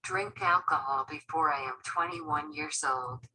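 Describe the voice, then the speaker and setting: A woman's voice: sits low, huge pitch swings, a little slow, gravelly, bright, forceful tone, breathy edge, poor audio. A woman reading short lesson statements aloud, one at a time.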